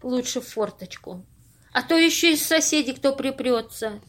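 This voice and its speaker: A woman's voice: high voice, moderate pace, natural voice. A woman acting an earnest, anxious and strict character in an amateur audio play, speaking in a fretful, reproving way. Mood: businesslike.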